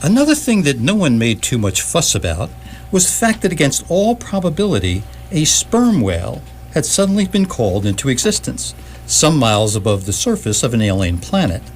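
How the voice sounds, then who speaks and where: A man playing a dry, wry narrator in radio theatre, with formal, deadpan wording. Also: mid-range voice, normal speed, slight background noise.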